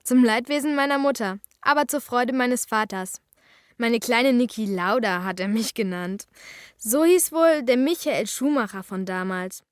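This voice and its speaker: A pert young girl, acted by a woman, chats away in a high voice. She is cheeky and expressive, a bike-loving kid from a children's audio drama, recorded close and dry. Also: measured pace, clear, resonant tone, dry recording, lightly acted. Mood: chatty, cheerful. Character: lively, cheeky girl detective who loves cycling. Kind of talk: children's radio play narration and dialogue.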